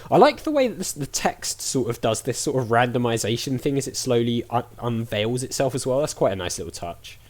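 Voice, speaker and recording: Lively, chatty man commentating on a game. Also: medium pitch, a little fast, hardly any pauses. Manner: chatty, thinking aloud. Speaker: let's play host.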